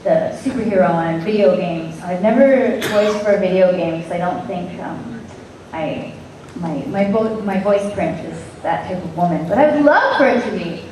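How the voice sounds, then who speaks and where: A woman's voice: on the low side, normal speed, darkish tone. Playful woman, self-mocking, far from the mic, very echoey room, audible noise. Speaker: voice actress panelist. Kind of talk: convention panel answers. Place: convention panel room.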